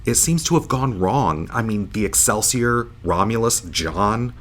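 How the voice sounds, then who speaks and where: A man's voice: on the low side, animated, measured pace. A man playing a bitter, wry starship officer woken from a long coma, in a science fiction audio drama. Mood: wry, weary. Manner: sarcastic, wry, emotional.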